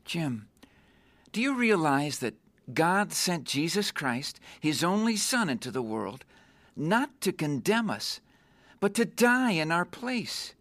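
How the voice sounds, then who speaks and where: A man's voice: fairly high pitch, very animated, takes it slowly, fairly bright tone, no echo, heavily acted. A male actor playing an earnest, newly saved young man in a radio drama, solemnly and fervently reciting scripture. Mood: fervent, gentle urging.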